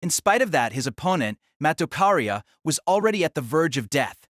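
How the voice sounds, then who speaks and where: Higher-voiced man narrating, dry sound. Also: sing-song, brisk, a bit bright, no room sound. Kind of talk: scripted novel narration.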